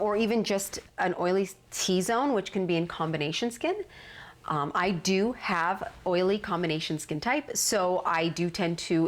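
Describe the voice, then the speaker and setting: A woman vlogger explaining skin care, sounding a little nasal while getting over a cold. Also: lowish voice. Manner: earnest, explanatory. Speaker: skincare vlogger. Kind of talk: casual instructional talk.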